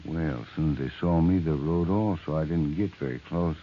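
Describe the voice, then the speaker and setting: An actor playing a plain-spoken, wary trail rider in an old radio western, matter-of-fact in manner. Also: male voice, a bass voice, fairly quick, slight background noise. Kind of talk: 1950s radio western acting. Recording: muffled old radio recording.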